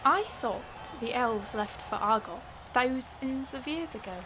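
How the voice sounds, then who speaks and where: A woman's voice: on the high side, a little slow, noisy background, natural voice. A woman playing a skeptical champion knight in an audio drama, incredulous and questioning. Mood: doubtful.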